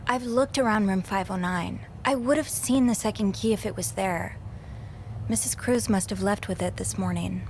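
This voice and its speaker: Young woman, medium pitch, earnest curious amateur sleuth, acted, understated and hesitant, video game dialogue, faint background noise. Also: moderate pace, a little mellow, natural voice. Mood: disappointed. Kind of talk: naturalistic video game acting.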